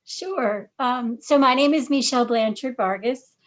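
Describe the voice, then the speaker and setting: A woman's voice: on the high side, measured pace, highs cut off, a little hiss or hum. A middle-aged woman from Massachusetts answering podcast questions about herself, hesitant with many fillers and restarts. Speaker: podcast guest, parent. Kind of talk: casual interview answers.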